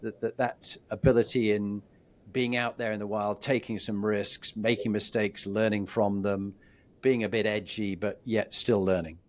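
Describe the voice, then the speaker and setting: Male host, low voice, slow reflective questioning. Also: level tone. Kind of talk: interview questions.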